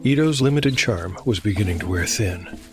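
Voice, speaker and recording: A man hosting and narrating a solo tabletop game as a dark fantasy audio drama, measured and grave, with dice-roll commentary. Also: on the low side, animated. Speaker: host and solo game master. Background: music.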